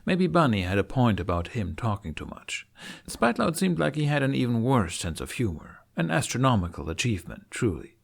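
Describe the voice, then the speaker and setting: A man reading a story aloud as narrator in an expressive audiobook style. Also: mid-range voice, fairly quick, vocal fry.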